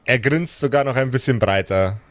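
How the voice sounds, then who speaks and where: Man narrating a tabletop game, mid-pitched. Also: no room sound. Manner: measured, descriptive, searching for words. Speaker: game master.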